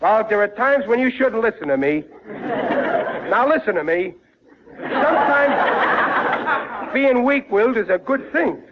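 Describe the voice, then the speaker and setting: A blustering, gullible middle-aged American dad in an old radio comedy. His voice sits high, and he stammers with exasperation and mangled grammar. The recording is muffled like an old broadcast. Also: animated, measured pace, long pauses, crowd noise, noisy background. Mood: scheming, blustering. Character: blustering, gullible working-class family father. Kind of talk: 1940s radio comedy acting.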